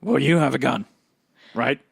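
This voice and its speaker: A man with a gravelly, husky voice improvising in character in a tabletop game, halting and repeating words. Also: medium pitch, no echo, studio quality. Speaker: player in a tabletop roleplay game.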